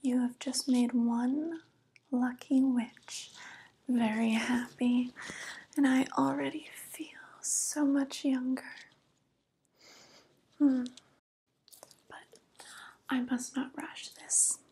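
A young woman playing a vain, sly witch in a soft-spoken ASMR roleplay, breathy and whispery, cooing with false tenderness close to the microphone. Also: high voice, steady tone, heavily acted. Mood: pleased, sly. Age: young adult. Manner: slow, cooing, gently condescending. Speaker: ASMR performer.

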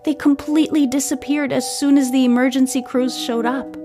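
A woman narrator voicing a troubled, earnest boy, confiding quietly and hesitantly in an audiobook. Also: high-pitched, flat delivery, brisk, faint music behind, voice pushed up, natural voice. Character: troubled, earnest boy recalling a strange night.

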